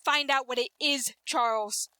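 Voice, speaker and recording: An actress playing a bitter, frightened middle-aged wife with an intense, melodramatic delivery in a 1940s style radio drama. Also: female voice, high voice, a little slow, sharp tone, clean tone. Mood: frightened, pleading. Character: bitter, frightened long-suffering wife of a scientist.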